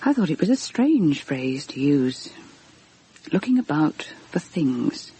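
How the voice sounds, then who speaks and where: A woman's voice: low voice, big pitch swings, slow pace, dark tone, slightly rough, full character voice, poor audio. An actress playing an inquisitive, sensitive, genteel woman in a radio drama, speaking in articulate, earnest, literary phrases. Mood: reflective. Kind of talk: radio drama narration and dialogue.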